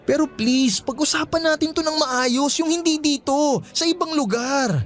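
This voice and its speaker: High-pitched, pleading young husband. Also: male voice, moderate pace. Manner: pleading, conciliatory. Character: flustered, placating young two-timing husband and father. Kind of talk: Filipino radio romance drama acting.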